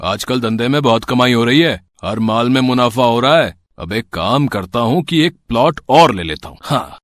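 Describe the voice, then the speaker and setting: A man's voice: mid-range voice, expressive, moderate pace, a bit bright, no echo, lightly acted. A man playing a shopkeeper and father with plain, earnest delivery in an amateur moral story. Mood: self-satisfied. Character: greedy shopkeeper turned anxious, pleading father.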